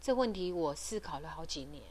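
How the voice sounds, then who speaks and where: Lower-pitched Taiwanese woman podcaster. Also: expressive, fairly quick, a little mellow. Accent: Taiwanese Mandarin. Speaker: podcast host. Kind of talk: informal explanatory podcast talk.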